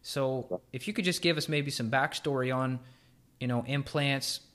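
A man's voice: mid-range voice, moderate pace, fairly bright tone. A man hosting a health podcast, chatting casually and asking questions with easygoing fillers. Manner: casual, with fillers like "you know". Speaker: podcast host. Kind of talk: conversational podcast interview.

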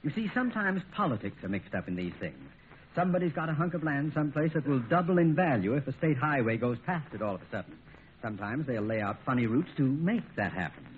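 An actor playing a sensible, put-upon lawyer and family friend, dryly weary and cautioning, in a 1950s radio comedy. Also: male voice, mid-range voice, very animated, fast talker, natural voice. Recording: tinny old radio recording, faint noise.

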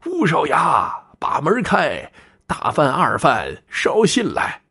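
A man as earnest, animated young traveler Shi. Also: deep voice, normal speed, creaky, slightly rough, exaggerated voice. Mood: calling out.

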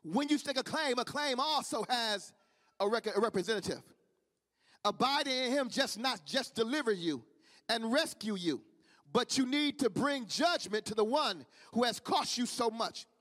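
A man's voice: extremely high pitch, lively intonation, normal speed, a bit bright. A man with a Midwestern accent preaching a sermon with fervent, repetitive cadence. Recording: long reverb of a large hall.

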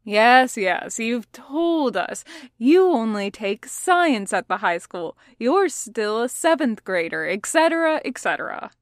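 A woman's voice: fairly high pitch, big pitch swings, takes it slowly, no echo, clean studio sound. A woman voicing a teasing, theatrical, easygoing schoolboy with playful, dramatic delivery in an audiobook story. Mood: mock-weary.

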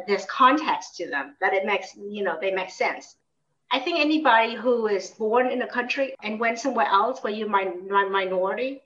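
A woman's voice: mid-range voice, fairly bright tone. A middle-aged woman from Vietnam and the United States, answering interview questions in a thoughtful, reflective, conversational way. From born in Vietnam until twelve, then United States. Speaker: interviewed memoir author. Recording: distant mic, dull muffled sound.

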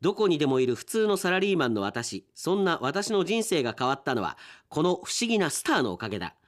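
A man's voice: a little high, very animated, fast talker. A man voicing an ordinary salaryman with secret schemes, telling his radio story matter-of-factly. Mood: confident, scheming. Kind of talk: read-aloud radio drama story.

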